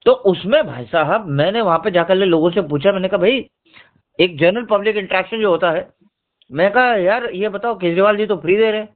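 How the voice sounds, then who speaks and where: A high-voiced man speaks over a thin, narrowband phone line. He explains politics in a casual but insistent way, pressing his points on the person he is talking to. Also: a little fast. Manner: insistent, explanatory, addressing the listener as brother. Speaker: journalist discussing politics. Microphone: phone call. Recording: tinny, narrowband phone call recording.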